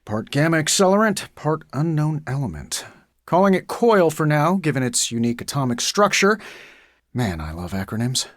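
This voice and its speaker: An actor voicing a cocky, wisecracking genius inventor superhero in a video game, with an American accent and a sarcastic, glib delivery. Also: male voice, on the high side, sing-song, moderate pace, fairly bright tone, no echo, studio quality. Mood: dry sarcasm. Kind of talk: video game character voice lines.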